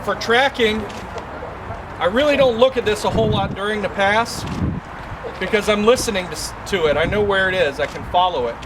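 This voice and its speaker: A man's voice: sits high, brisk. A man in his thirties giving an informal, methodical step-by-step practical demonstration to onlookers outdoors. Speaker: amateur radio demonstrator. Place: outdoors by a brick building and car park. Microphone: camera a few metres away. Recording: outdoor camera from a distance, loud ambient noise.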